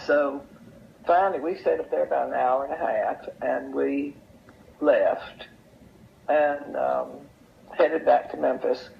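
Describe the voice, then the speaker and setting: An older woman tells anecdotes in an unhurried, folksy way, repeating phrases for emphasis as an interview guest. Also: rumbling low, steady tone, vocal fry, a little hiss or hum. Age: older, over 70. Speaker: interview guest sharing memories. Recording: dull, lo-fi sound.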